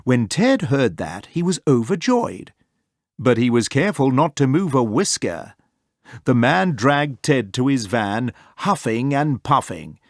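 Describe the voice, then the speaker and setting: A man reading a children's story aloud as narrator, expressive and clearly phrased. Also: mid-range voice, a little slow, dry recording, clean studio sound. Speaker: storybook reader.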